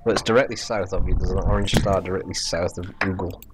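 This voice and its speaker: A man's voice: low-pitched, fairly even intonation, fast, loud music behind the voice. A man in casual gaming chat, talking as a player during a strategy game let's play.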